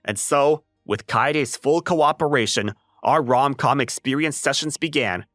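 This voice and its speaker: A man playing a flustered, introverted high school boy and aspiring writer in an anime-style comic dub, animated and prone to exasperated lecturing. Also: a little slow, no room sound, clean studio sound, faint music behind, close to an everyday voice. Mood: wry narrating.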